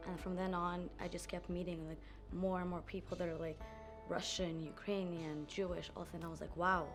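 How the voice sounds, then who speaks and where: A female student telling stories casually at a roundtable, excitable and full of "like". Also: on the low side, brisk, music in the background. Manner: excitable storytelling, many "like"s.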